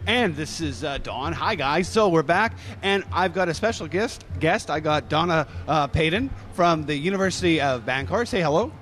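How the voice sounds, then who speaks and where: A man's voice: a little high, animated, normal speed, few pauses, fairly bright tone, a little hiss or hum. A man hosting a live radio show from a convention fair, talking in a rambling, enthusiastic way with frequent restarts. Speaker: radio host. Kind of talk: casual live radio interview.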